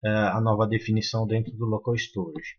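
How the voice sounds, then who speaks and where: A man's voice: fairly low pitch, fairly even intonation, brisk, breathy edge. A man explaining code step by step in a screen-recorded tutorial, with many fillers and restarts. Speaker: programming tutor. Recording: muffled lo-fi sound.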